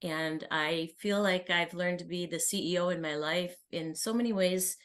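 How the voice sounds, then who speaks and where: A woman's voice: fairly low pitch, moderate pace, fairly bright tone. A woman in her early sixties, a coaching client talking candidly and chattily as a guest. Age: early 60s. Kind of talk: conversational personal account and question.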